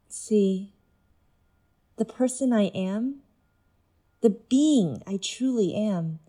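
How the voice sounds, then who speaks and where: Slow, high-voiced, persuasive otherworldly woman, acted. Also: warm tone, full character voice. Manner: deliberate, measured, persuasive monologue. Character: intense, seductive nonhuman being in a woman's guise. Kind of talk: dramatic audio drama acting.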